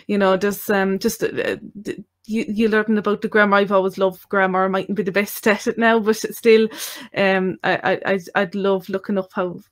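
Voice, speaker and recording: An Irish woman in casual chat who speaks haltingly, with many restarts. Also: mid-range voice, level tone.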